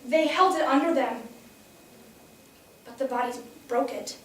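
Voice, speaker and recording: A woman performs a young reporter shaken by what they saw, in a stage monologue. The high voice recounts events with grim, dramatic weight. The recording is distant and full of room echo. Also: a little slow. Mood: grim, horrified. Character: young news reporter, shaken horrified eyewitness. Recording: echoey room, distant mic.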